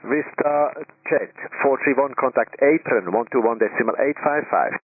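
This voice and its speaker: A man working as an air traffic controller, giving brisk, procedural clipped instructions over a radio. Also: mid-range voice. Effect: radio transmission. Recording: tinny narrowband aviation radio.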